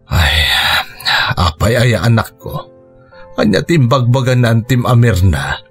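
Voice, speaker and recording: A man playing a gentle, forgiving middle-aged father with a husky voice, acted for Ilocano radio drama with a Filipino accent. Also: medium pitch, animated, a little slow, faint music behind. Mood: puzzled, tender.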